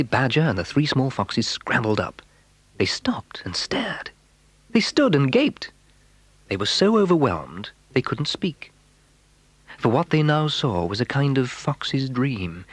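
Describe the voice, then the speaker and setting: A man's voice: medium pitch, sing-song, a little slow, slightly rough, dry recording, low-quality recording. A man narrating a children's story in a dramatic storytelling manner.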